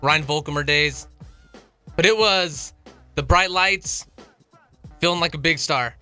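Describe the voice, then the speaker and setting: A man's voice: fairly high pitch, measured pace, bright, forceful tone, soft background music. A man visiting a sports radio studio as a guest, easygoing in casual chat.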